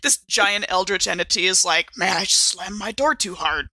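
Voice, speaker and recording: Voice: mid-range voice, moderate pace, sharp tone. A player joking casually during a tabletop game podcast.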